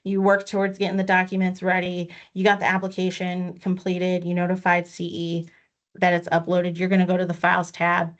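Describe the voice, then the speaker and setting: A woman's voice: on the low side, monotone, a little fast. Woman trainer, patient and methodical, explains steps one at a time, slightly muffled video-call audio. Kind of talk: online training walkthrough. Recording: dull lo-fi audio.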